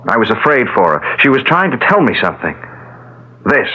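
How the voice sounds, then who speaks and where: A man's voice: medium pitch, big pitch swings, brisk, bright, forceful tone, breathy edge, exaggerated voice. An actor playing a grieving young lawyer who bluntly accuses his stepfather, in a 1970s radio drama. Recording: dull 1970s radio broadcast.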